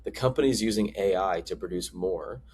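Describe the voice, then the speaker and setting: A man presenting a scripted explainer monologue as a marketing advisor, with emphatic, deliberate delivery. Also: low voice, darkish tone, breathy edge.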